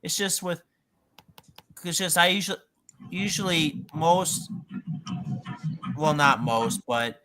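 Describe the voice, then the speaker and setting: A man chatting casually in a hesitant, halting way, often correcting himself. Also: fairly high pitch, animated, sharp tone, rough recording. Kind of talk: casual group chat. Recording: music clearly playing behind the voice.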